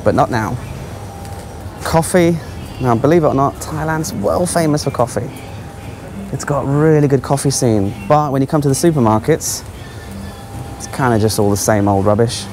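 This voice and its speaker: A man's voice: mid-range voice, moderate pace, pauses often, noisy background. A man giving casual, offhand, exclaiming commentary as a travel vlogger walking around a supermarket. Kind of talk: casual running vlog commentary. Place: large supermarket aisles.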